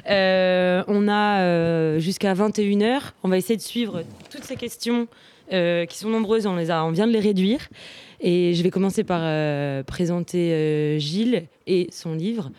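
A woman moderating a public talk, hesitant with many fillers. Her smooth voice sits lowish and sounds dry and close. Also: a little slow, darkish tone, dry recording. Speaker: event moderator.

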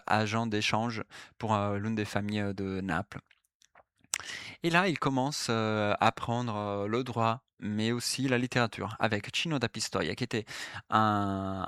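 Italian-accented man, lowish smooth voice, slow and hesitant delivery with many fillers, explaining a topic in class. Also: fairly bright tone, no room sound, studio quality. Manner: apologetic, polite. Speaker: presenter giving a class talk. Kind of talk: explanatory talk on literary history.

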